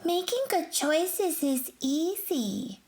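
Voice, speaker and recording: A woman's voice: high-pitched, very animated, slow pace, a little mellow, pitched above the performer's own voice, exaggerated voice. A woman voicing a naive, confident, questioning young listener in a children's storybook, with a lilting delivery. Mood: self-assured.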